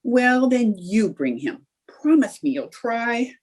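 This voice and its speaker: A woman playing an eager, scheming, chatty young housewife in an old-time radio comedy, with a husky voice. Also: a little high, very animated, slow, darkish tone, full character voice. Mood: eager, conspiratorial. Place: indoors, remote video call.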